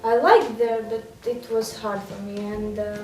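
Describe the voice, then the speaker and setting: Woman, slow, mellow, hesitant, faint music behind. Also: a little high, fairly even intonation. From Latvia. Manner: hesitant, non-native phrasing. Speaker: interviewee. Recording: echoey room, distant mic, faint music.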